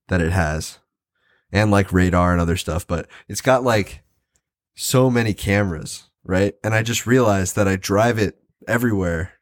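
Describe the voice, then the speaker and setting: Male podcast co-host, lowish voice, relaxed and wry, casual banter. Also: dry recording, studio quality. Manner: easygoing, wry, chuckles often. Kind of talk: casual chat.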